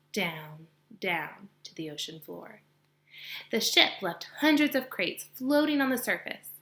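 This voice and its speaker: A woman reading a children's novel aloud with expression, pausing to explain narrative point of view to her listeners like a teacher. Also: medium pitch, sharp tone.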